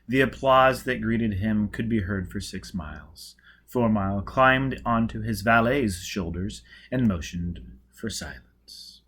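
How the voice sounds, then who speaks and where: A man reading a novel aloud as an audiobook, with emphatic, deliberate dramatic narration. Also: on the low side, a bit bright. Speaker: audiobook reader.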